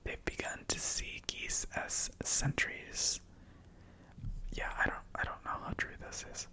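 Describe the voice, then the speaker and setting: A man whose first language is Spanish, whispering numbered facts ASMR-style with casual chatty asides. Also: a little slow. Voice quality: soft unvoiced whisper. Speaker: ASMR host. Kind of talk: ASMR whispered fact reading.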